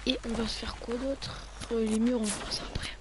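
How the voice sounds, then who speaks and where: A man's voice: very high voice, fairly even intonation, brisk. A male gamer talking viewers through his gameplay, often muttering half to himself between remarks. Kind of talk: let's play commentary. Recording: noisy home recording.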